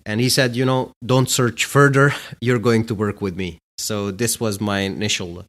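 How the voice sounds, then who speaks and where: Low-voiced man from Bosnia, halting. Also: steady tone, fairly bright tone. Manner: hesitant, many fillers. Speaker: guest, company executive. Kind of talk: casual interview talk.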